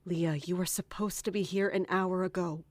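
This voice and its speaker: A woman's voice: fairly low pitch, fairly even intonation, moderate pace, slight background noise, close to an everyday voice. A woman playing a stern, accusing captain of guards in a fantasy audio drama.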